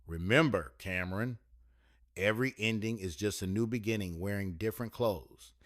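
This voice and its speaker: A man reading the part of a warm, loving middle-aged mother who speaks in soothing, folksy phrases. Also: fairly low pitch, moderate pace, no room sound, studio quality, natural voice. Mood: tender.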